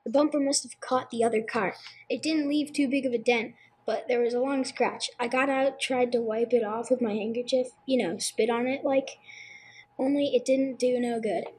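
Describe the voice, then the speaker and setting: A woman acting as a humble, nervous man under questioning, with a high, husky voice. The delivery is halting, folksy and apologetic, in radio play style. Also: steady tone, a little mellow. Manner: halting, plain folksy speech. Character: humble, anxious man, simple and apologetic suspect.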